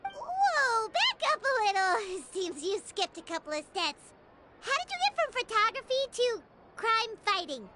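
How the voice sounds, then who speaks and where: A woman voicing a tiny, excitable and nosy female companion in a video game, chatty and quick to question things. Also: very high-pitched, loud music behind the voice, heavily acted. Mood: skeptical. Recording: game audio with steady background noise.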